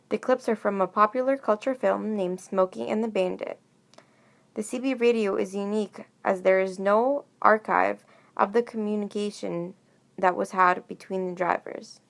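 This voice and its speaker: A woman's voice: mid-range voice, vocal fry. A woman reading a scripted documentary narration.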